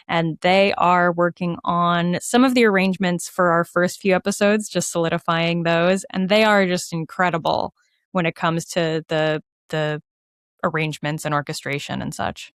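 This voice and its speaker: A woman speaking as an interview guest in a casual, animated, informal way. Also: on the low side, clean tone, no room sound, clean studio sound. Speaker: guest, musical theater writer and performer. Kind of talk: casual conversational interview answers.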